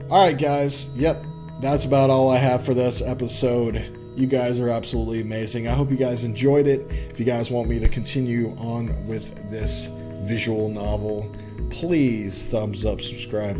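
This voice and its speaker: A man's voice: medium pitch, normal speed. A young man giving casual, informal let's play commentary and reading game dialogue aloud. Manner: informal, reacts with exclamations. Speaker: gaming YouTuber. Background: game music.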